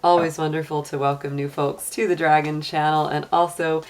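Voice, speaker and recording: A woman with a low, deep voice that sounds a bit hoarse. She talks in a casual, conversational way as a tarot reader guiding listeners through an astrology reading on her channel. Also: flat delivery, moderate pace. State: slightly hoarse.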